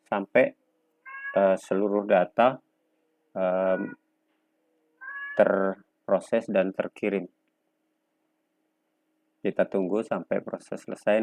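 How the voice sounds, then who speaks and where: A man presenting an unscripted software tutorial step by step, speaking in a halting, instructional way with pauses between short phrases. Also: on the low side, no echo. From Indonesia. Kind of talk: unscripted step-by-step screen demonstration.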